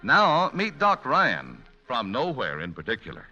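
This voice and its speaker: A man's voice: mid-range voice, huge pitch swings, unhurried, sharp tone. A man announcing and narrating a comedy in the scripted style of 1940s radio. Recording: dull, lo-fi vintage radio recording. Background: music.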